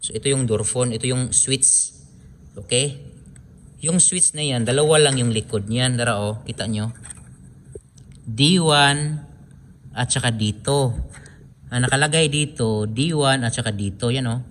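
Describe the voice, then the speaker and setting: A man's voice: mid-range voice, measured pace, long pauses, slight background noise. A man with a Filipino accent giving an informal hands-on tutorial, explaining step by step and often repeating himself as he points things out. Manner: repetitive, step-by-step explaining with frequent pointing phrases. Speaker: DIY electronics tutorial host. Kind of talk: informal hands-on wiring demonstration.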